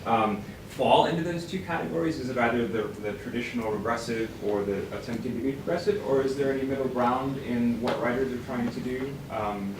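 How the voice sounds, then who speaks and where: A man's voice: mid-range voice, a little fast, few pauses. A man asking curious, tentative questions in an academic conference discussion. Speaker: audience questioner. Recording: distant, echoey, noisy room recording.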